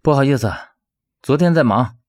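A man's voice: medium pitch, normal speed, darkish tone, pitched above the performer's own voice, close to an everyday voice. A man voicing a principled, put-upon schoolteacher in his twenties for an audiobook, resigned and placating in manner. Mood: restrained anger. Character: resigned, principled 27-year-old male schoolteacher, kind but put-upon.

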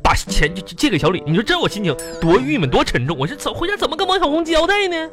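A man's voice: very high voice, sing-song, fast talker, over music. A man playing a grumbling, evasive husband in a live radio comedy skit, rambling and whining in a Northeastern Chinese Mandarin accent. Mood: aggrieved storytelling. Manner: whiny, rambling, colloquial exaggeration. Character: grumbling, evasive husband spinning excuses to his wife. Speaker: radio comedy host and performer.

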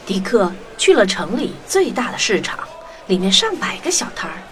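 A woman's voice: medium pitch, sing-song, normal speed, a bit bright, slight background noise. A woman narrating a scripted children's story, expressively addressing young listeners.

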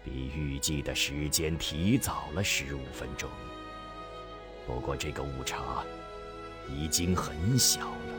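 Man acting very deep, grave scientist. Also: over music. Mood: calm, cool. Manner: measured, solemn. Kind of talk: radio drama acting.

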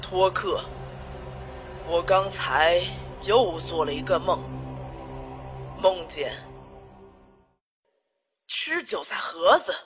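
A man's voice: sits high, lively intonation, very slow, long pauses, heavily acted. An actor playing a lovesick, whiny male demon lord trapped in a tower, grand but turning pleading and sulky, in an amateur radio drama. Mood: musing. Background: background music.

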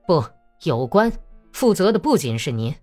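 A woman voicing a defiant male Cossack commander in an audiobook, terse and stubborn, in a low, muffled voice, with music behind. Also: big pitch swings, normal speed, dark tone. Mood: stubborn, weary. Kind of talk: audiobook character acting.

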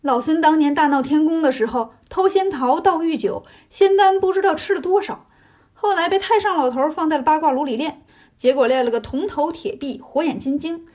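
A woman's voice: sits high, a little fast, darkish tone, voice pushed up, close to an everyday voice. A woman voicing a cocky male monkey hero in a story, brash, mocking and casual. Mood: cocky taunting.

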